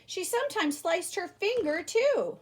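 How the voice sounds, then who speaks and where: A woman reading a children's picture book aloud like a teacher, animated, with theatrical emphasis. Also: extremely high pitch, measured pace. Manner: animated, theatrical emphasis with exclamations and rhetorical questions. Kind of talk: expressive children's story read-aloud.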